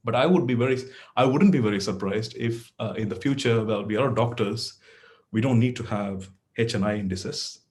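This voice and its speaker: A man's voice: medium pitch, normal speed, darkish tone. A middle-aged man whose first language is Tamil, a neurosurgeon giving an online lecture to medical students in a conversational way, with many fillers and restarts.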